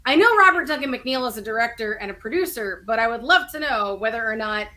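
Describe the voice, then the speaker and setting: A woman's voice: fairly high pitch, animated, quick pace, sharp tone. A woman giving her opinions in casual fan chat on a podcast panel.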